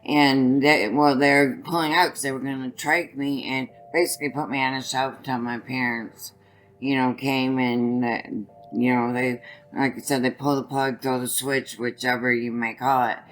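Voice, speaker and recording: An older woman with a raspy voice, telling her own story as an interview guest, halting and restarting as she goes. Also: rumbling low, little pitch movement, faint music behind, low-quality recording. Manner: halting, restarts often while recounting.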